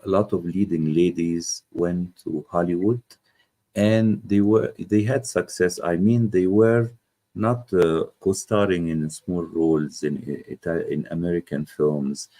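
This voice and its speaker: A middle-aged man interviewing a guest, speaking haltingly with many hesitations. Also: sits low, mellow. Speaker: interviewer, host. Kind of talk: casual interview conversation.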